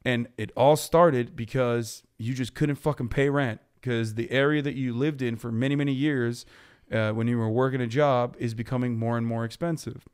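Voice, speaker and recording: A man in his thirties, from Turkey, talks politics with a blunt and profane edge. His voice is smooth and clear. He speaks at a middling pitch into a boom mic in a podcast studio. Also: fairly even intonation, darkish tone. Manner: blunt, profane, rapid explaining. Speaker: podcast guest. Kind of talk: heated political chat. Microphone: boom mic on stand.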